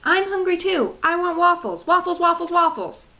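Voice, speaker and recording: A woman voicing an excited, stubborn little girl in a read-aloud story, insistent and repetitive. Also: extremely high pitch, moderate pace, pitched above the performer's own voice, full character voice.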